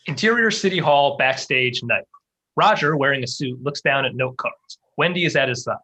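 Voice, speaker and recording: Husky, forceful stage-direction reader. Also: medium pitch, animated, moderate pace. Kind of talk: scripted narration of screenplay directions.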